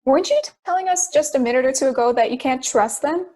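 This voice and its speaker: A woman playing a calm, doubting juror who keeps order, in an amateur audio drama. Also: high voice, fast talker. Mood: questioning.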